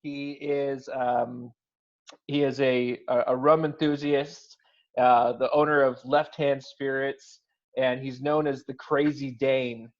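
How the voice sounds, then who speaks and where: Laid-back man hosting, flat delivery. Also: mid-range voice, slow. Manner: laid-back, friendly, hesitant fillers. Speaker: host. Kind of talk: casual virtual happy hour hosting. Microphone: video call. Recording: dull lo-fi video call audio.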